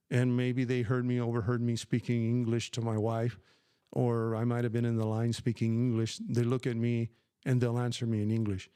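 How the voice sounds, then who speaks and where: A man's voice: mid-range voice, mellow, smooth, dry recording, clean studio sound. An older man hosting a casual live question-and-answer stream, talking emphatically and rambling with many fillers and restarts. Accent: New Mexican Spanish when speaking Spanish. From New Mexico, USA. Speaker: livestream host.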